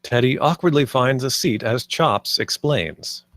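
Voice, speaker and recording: A man's voice: medium pitch, lively intonation, a little slow, a bit bright, no room sound, studio quality. A man reading stage directions as the narrator of a script table read.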